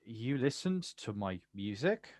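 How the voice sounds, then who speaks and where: An actor playing a bitter, protective older brother, confrontational and incredulous, in a naturalistic stage drama. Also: male voice, mid-range voice, sing-song, measured pace, a little mellow, dry recording, clean studio sound. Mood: wry, softening. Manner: confrontational, incredulous, clipped questions.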